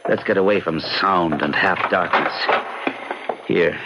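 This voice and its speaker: An actor playing a practical gentleman troubled by sorrow in an old-time radio drama, with deliberate, theatrical, broken phrasing. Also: deep voice, steady tone, very noisy. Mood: grave, questioning. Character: practical gentleman newcomer, weighed down by unexplained sorrow. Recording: muffled, lo-fi old radio recording.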